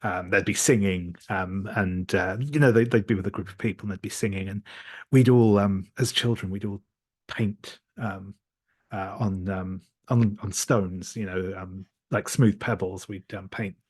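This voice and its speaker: A man telling an improvised tabletop roleplay story, haltingly searching for words. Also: deep voice, steady tone, darkish tone, slightly rough, no echo. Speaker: tabletop game participant.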